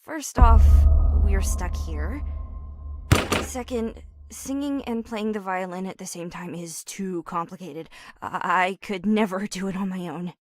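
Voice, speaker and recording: An actress playing a timid, modest young maid in a video game, hesitant and polite. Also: female voice, medium pitch, unhurried, music in the background, lightly acted. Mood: flustered, discouraged. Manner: hesitant, self-doubting, polite. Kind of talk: naturalistic video game acting.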